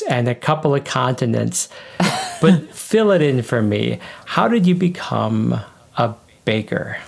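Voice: medium pitch, vocal fry. A podcast host with a folksy, colloquial way of talking, presenting and interviewing in a conversational style.

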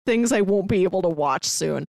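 A woman's voice: lowish voice, expressive, moderate pace, creaky, darkish tone, dry recording, studio quality. A woman co-hosting a podcast, talking in a casual, conversational way about what she watches and reads. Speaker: podcast co-host. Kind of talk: casual review podcast chat.

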